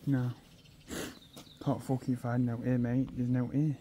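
A man's voice: medium pitch, little pitch movement, a little slow, dark tone, a little hiss or hum. A young man, chatting casually outdoors while magnet fishing with a friend, swearing and calling him bro and mate. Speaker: vlogger. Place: outdoors on a canal towpath.

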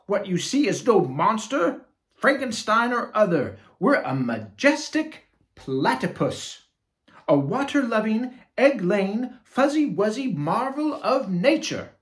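A woman voicing a grand, pompous platypus party guest, loud and high-pitched. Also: big pitch swings, slow pace. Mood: indignant.

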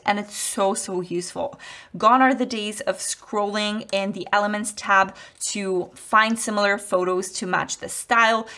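A woman in her thirties, a native Polish speaker, hosting an upbeat step-by-step design software tutorial on a headset mic in a home office. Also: medium pitch. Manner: upbeat, friendly, walks through steps. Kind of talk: screen-recorded software tutorial.

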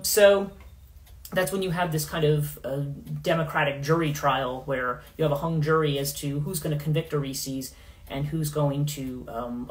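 A middle-aged woman hosting a casual livestream in her living room, rambling with many fillers and restarts, her voice going hoarse. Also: low-pitched. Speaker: podcast host. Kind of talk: casual livestream talk on myth.

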